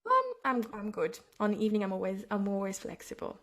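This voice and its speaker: A woman's voice: medium pitch, huge pitch swings, fast, a bit bright. A woman from France speaking English with a French accent, hosting a casual podcast chat from home in the evening, often restarting as she searches for words. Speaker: podcast host. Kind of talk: casual conversation. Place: home, at night.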